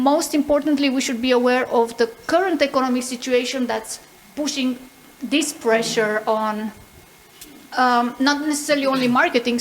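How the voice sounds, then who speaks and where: A woman with a notably high voice speaks as a panelist at a conference. She explains her points step by step and often tacks on short questions to check that listeners follow. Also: slight background noise, low-quality recording. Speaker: panelist, product executive.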